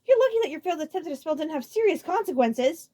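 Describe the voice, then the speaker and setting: A woman's voice: extremely high pitch, expressive, fast talker, warm tone, pitched above the performer's own voice. A woman voicing a frantic, bossy male turtle-creature scientist in a story, indignant and shrieking when alarmed.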